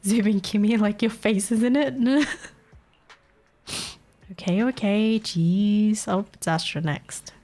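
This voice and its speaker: A woman's voice: mid-range voice, slow pace, mellow. A young woman with a Filipino accent chatting casually on a live stream, teasing her chat and laughing often. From Philippines.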